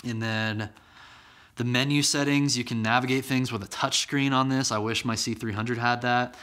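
A man's voice: medium pitch, steady tone, normal speed, sharp tone. A man in his thirties hosting a casual gear review to camera, talking conversationally with fillers and restarts, recorded in a small studio room on a camera-mounted mic. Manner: conversational, many ums and restarts, enthusiastic. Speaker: church media channel host. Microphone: camera-mounted microphone.

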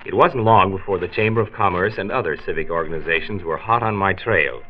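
An actor with an American accent playing a measured county health doctor, narrating a late 1940s radio drama. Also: male voice, deep voice, close to an everyday voice. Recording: dull old radio transcription, music behind.

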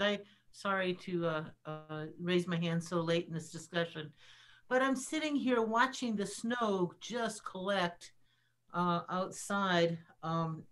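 An older woman, a city councilor, making halting, unscripted remarks in a formal meeting held by video call. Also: on the low side, fairly bright tone. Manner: hesitant, halting. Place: small indoor office on a video call.

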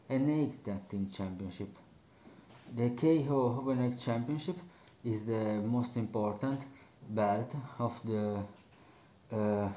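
A young Italian man talks slowly in halting, accented English, pausing on fillers and restarting his phrases. His voice is dark and mellow. It was recorded on a webcam in a small bedroom, so it sounds roomy and dull. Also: medium pitch. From Italy. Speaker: wrestling commentary host. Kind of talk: casual solo talk to camera.

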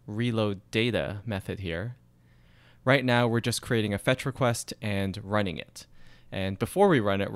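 A man talks through his code in a programming screencast, steady and methodical as he types. His voice sits a little low and sounds smooth and clear. The recording is dry and close, with no room sound. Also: dry recording. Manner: explains while typing, steady and methodical. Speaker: programming tutorial instructor. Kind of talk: screencast coding walkthrough.

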